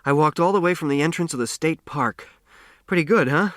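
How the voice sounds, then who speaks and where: A man's voice: on the high side, a little fast, a bit bright, no room sound, clean studio sound, pitched above the performer's own voice, lightly acted. A man voicing a jovial, teasing grown friend in an audiobook, with a hearty, theatrical manner. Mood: cheerful.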